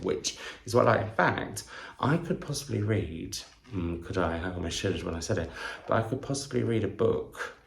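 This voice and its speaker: A man talks casually to camera about his book haul in a deep voice with plenty of vocal fry. He is effusive and wanders into asides, often questioning himself as he goes. The recording has an audible roomy echo. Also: slight background noise. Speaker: book vlogger, channel host.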